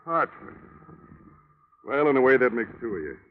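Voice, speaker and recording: An American actor playing a brusque, gruff police lieutenant in an old radio drama. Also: male voice, medium pitch, very animated, normal speed, close to an everyday voice. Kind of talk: 1940s radio acting. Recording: muffled old radio recording, faint noise.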